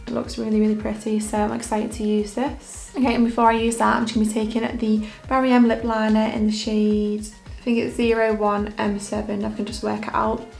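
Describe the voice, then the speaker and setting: A young woman makeup artist talking through a casual makeup tutorial in a hoarse, croaky voice, her delivery relaxed and monotone with plenty of fillers. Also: fairly high pitch, a little fast, soft background music. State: croaky, hoarse voice after illness. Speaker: makeup artist and beauty vlogger.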